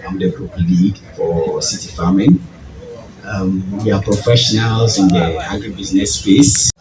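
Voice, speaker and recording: A man with a deep voice and a Ghanaian accent gives a public talk, deliberately, in short phrases and questions to the audience. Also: steady tone, a crowd in the background. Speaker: guest speaker, farming group leader. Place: event venue with audience. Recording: distant, echoey hall with audience noise.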